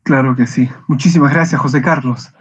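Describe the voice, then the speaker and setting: A man's voice: medium pitch, fairly even intonation, a little fast, husky. A man teaching an online class, calling on students by name and thanking each one briefly. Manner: brief, polite acknowledgements. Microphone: video call. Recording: muffled video call audio.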